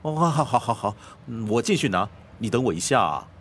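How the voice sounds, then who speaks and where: Husky, deferential, anxious servant. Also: male voice, mid-range voice, animated, a little fast, fairly bright tone. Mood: obsequious, worried. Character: deferential, anxious male servant fussing over his master. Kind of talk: radio drama acting.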